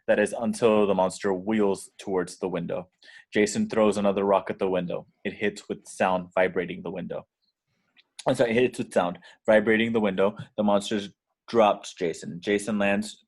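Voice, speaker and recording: A man reading out the action descriptions as scripted narration in a table read. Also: on the low side.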